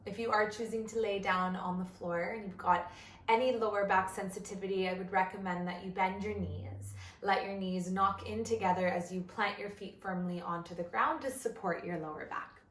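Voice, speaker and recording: A woman leading a guided body scan meditation as an instructor, gentle and measured, with long pauses between phrases. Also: medium pitch, fairly even intonation, roomy sound.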